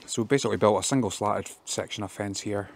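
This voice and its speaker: A man's voice: fairly low pitch, fast talker, creaky. A man, a fencing contractor, filming himself as he walks through a job and explains it in plain, practical terms with many ums, outdoors in a garden. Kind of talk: casual work vlog walkthrough.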